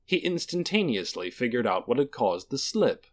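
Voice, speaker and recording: A man's voice: mid-range voice, big pitch swings, moderate pace. A man narrating a fantasy audiobook in a dramatic, scripted storytelling style.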